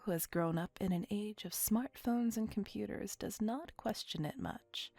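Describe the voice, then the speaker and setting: A woman playing an ancient, possessive and tender female vampire in an intimate ASMR roleplay, breathy and whispery, with languid, formal, old-fashioned speech. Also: medium pitch, a little mellow, dry recording. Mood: wistful, reluctant. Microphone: close microphone.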